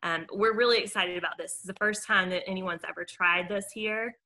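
Woman, American accent, bright and forceful voice, presenting informally on a video call as a garden caretaker. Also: medium pitch, fairly even intonation, fairly quick. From Mobile, Alabama, United States.

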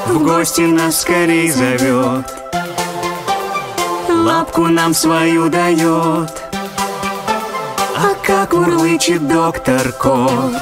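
A woman performing a children's sing-song, chanting playfully with drawn-out words. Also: fairly low pitch, huge pitch swings. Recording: loud electronic pop backing music. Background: electronic pop music.

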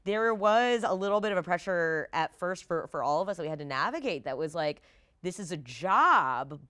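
A woman in her thirties talking casually as a guest on a gaming discussion show, rambling and animated, often saying "like" and "you know". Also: lowish voice, moderate pace, clean tone. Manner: rambling, animated, peppered with 'like' and 'you know'. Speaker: tabletop game player, guest on a discussion show. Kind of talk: casual chat. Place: TV-style studio set.

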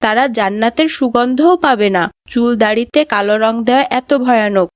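A woman as an earnest, high-voiced cartoon child. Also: normal speed, dry recording, clean studio sound, lightly acted. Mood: earnest, instructive. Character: earnest young grandchild, polite and excitable. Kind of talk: cartoon moral story dialogue.

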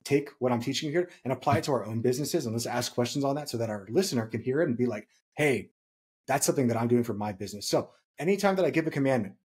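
A man in his thirties with a slightly husky voice, co-hosting a podcast and explaining points emphatically, repeating key phrases for stress. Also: mid-range voice, animated, very fast, a little mellow. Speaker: podcast co-host. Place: small home room, indoors.

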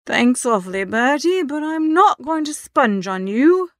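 Woman as a high-voiced, excitable young gentleman. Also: a little slow, dry recording, studio quality. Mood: gloomy. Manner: gushing, slangy, emphatic. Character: excitable, hapless young upper-class gentleman, dejected dreamer. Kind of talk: audiobook character reading.

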